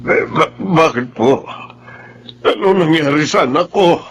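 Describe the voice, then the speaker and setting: Slow-spoken, sorrowful middle-aged father. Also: on the high side, lively intonation, a little hiss or hum. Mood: worried. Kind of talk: Tagalog radio drama acting. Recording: dramatic music bed behind.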